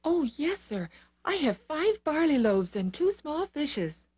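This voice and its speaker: A woman's voice: high-pitched, big pitch swings, slow pace, darkish tone, voice pushed up, exaggerated voice. A woman voicing an eager, polite little boy in a children's Bible storybook. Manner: polite, wide-eyed, earnest.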